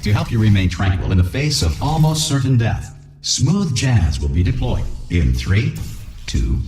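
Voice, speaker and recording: An actor playing a pompous, blithely reassuring corporate announcer delivering formal pre-recorded safety messages in a science-fiction video game. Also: male voice, on the low side, sing-song, unhurried, a little mellow, reverberant, soft background music. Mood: officially calm. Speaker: test facility announcer. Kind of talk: scripted public-address announcement.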